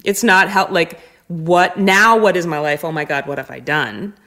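A woman with a low voice speaks in a casual, reflective podcast style. She thinks aloud as she goes, often stopping to rephrase and putting questions to herself. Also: normal speed, bright, forceful tone. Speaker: guest, touring musician.

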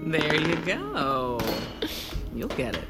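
An actress playing a tough, foul-mouthed army drill sergeant in an audio drama, curt and interrogating. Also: female voice, sits low, huge pitch swings, slow pace, over loud music, exaggerated voice. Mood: instructing, firm. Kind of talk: scripted audio drama acting.

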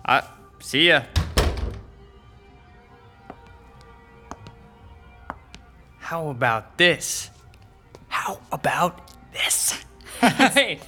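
A nervous, ingratiating young man in an audio drama. His voice sits a little high and has a bright, tense edge, and he babbles and repeats himself over faint music. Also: very animated, drawn-out words, exaggerated voice. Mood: elated. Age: young adult. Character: eager, nervous young male comedian, half of a couple. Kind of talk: modern audio drama acting.

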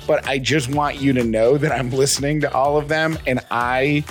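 A man's voice: medium pitch, steady tone, moderate pace, soft background music. A man chatting casually as the co-host of a podcast. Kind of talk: casual chat.

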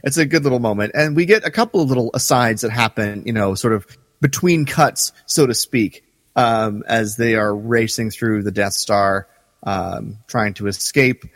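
A man's voice: on the low side, normal speed, fairly bright tone, dry recording, big-room sound. A man co-hosting a podcast in casual fan chat, agreeable, with hesitant phrasing. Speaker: podcast co-host.

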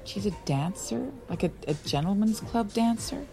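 A bossy, judgmental woman in an audio drama. She is insistent and emphatic, with a gravelly fry in a middling-pitched voice over faint music. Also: animated, normal speed, dark tone, rough recording. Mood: disapproving. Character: Loren, judgmental, bossy, church-minded woman friend. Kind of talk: naturalistic audio drama acting.

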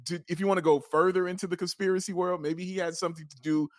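Playful man with higher voice, bantering. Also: flat delivery, fast talker, no echo, studio quality. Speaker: sports podcast co-host. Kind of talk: casual banter.

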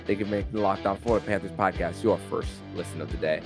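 A man hosting a sports podcast in a conversational style, with a somewhat halting delivery that repeats words. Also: low voice, steady tone, over music.

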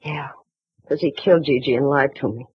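An actress playing a weary, rueful young woman tangled up with crooks, in 1940s radio acting style, with a husky voice. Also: a bass voice, fairly quick, no room sound, full character voice. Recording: dull, dry old radio recording.

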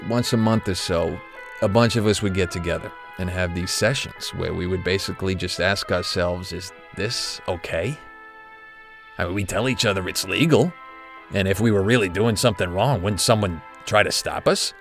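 An actor playing an older, guilt-ridden retired corporate executive who confides haltingly, as in an audio drama interview. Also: male voice, on the low side. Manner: hesitant, confessional, halting.

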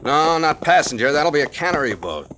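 An actor playing a tough, curt army captain who gives clipped orders in 1940s radio drama style. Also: male voice, a little high, big pitch swings, fairly quick, over loud music. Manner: clipped, commanding. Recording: scratchy 1940s radio recording.

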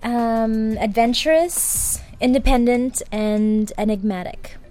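A woman's voice: a little high, steady tone, slow, warm tone, faint music behind. A woman from the Philippines, a contestant, giving brief, hesitant answers.

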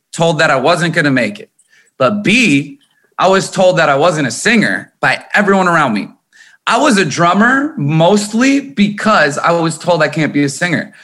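A man in his thirties from Minnesota, a musician talking candidly and emphatically in an interview, swearing freely. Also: a little high. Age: 30s. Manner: animated, emphatic, swears often. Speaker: guest musician.

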